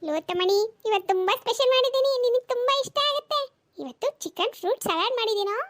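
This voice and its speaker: Casting: performer to play a scatterbrained cartoon mother and wife, extremely high-voiced, quarrelsome, full of nagging exclamations. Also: animated, fast, a bit bright. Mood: chatty. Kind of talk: comedy cartoon dialogue.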